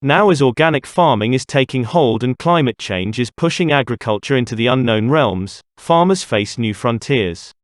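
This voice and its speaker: A man's voice: medium pitch, expressive, moderate pace, few pauses, no room sound, clean studio sound. A man reading scripted informational passages aloud as a narrator. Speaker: narrator of exam practice passages.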